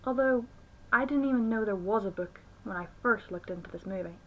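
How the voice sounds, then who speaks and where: A woman's voice: medium pitch, brisk, rough recording. A woman giving a scripted film review commentary. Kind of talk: scripted review commentary with acted intro skit.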